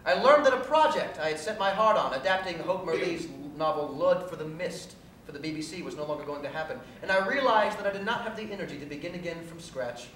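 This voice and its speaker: A man's voice: animated, fast, hardly any pauses, a bit bright. Echoey, far-off man reading a story onstage. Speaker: reader performing a short story on stage. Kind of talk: live dramatic reading of a first-person story. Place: theatre stage at a live cabaret, recorded from afar. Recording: echoey distant stage recording, faint hiss and rumble.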